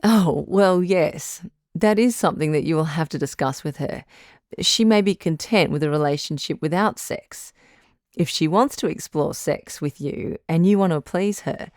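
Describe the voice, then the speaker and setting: Woman playing a low-voiced, reassuring radio doctor. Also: darkish tone, dry recording, clean studio sound, natural voice. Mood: reassuring, frank. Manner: measured, reassuring, frank. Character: calm, reassuring female doctor hosting supernatural advice show. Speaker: radio call-in host. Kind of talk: audio drama as radio advice show.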